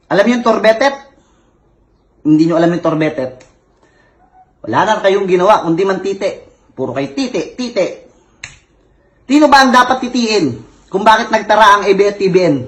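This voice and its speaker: A man ranting in a comic tirade, with a heavy lisp that turns s sounds into t. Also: high-pitched. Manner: angry, complaining, repetitive. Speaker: self-styled actor on social media. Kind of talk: comic rant.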